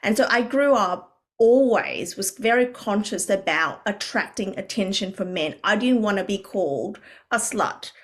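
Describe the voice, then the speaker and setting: A woman from Vietnam, guest on a podcast as a coach, talking in an earnest, reflective way with many fillers and restarts. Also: mid-range voice. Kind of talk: podcast interview.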